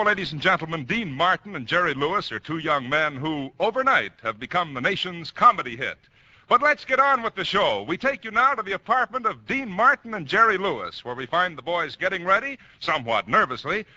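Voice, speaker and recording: A man's voice: high-pitched, big pitch swings, brisk, sharp tone. A man formally announcing a 1940s radio show, projecting his words. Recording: noisy, muffled vintage radio recording.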